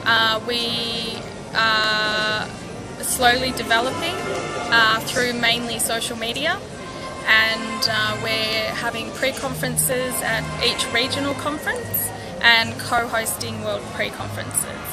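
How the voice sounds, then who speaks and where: A woman's voice: on the high side, little pitch movement, slow, over loud music, low-quality recording. A woman, an academic family doctor speaking plainly in a conference interview. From Australia.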